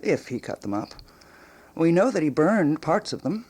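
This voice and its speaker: A woman's voice: low-pitched, sing-song, moderate pace, darkish tone, husky, full character voice. An actress playing an exuberant, self-assured older woman intellectual and amateur sleuth in a radio drama, theatrical and teasing. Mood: keen, deliberating.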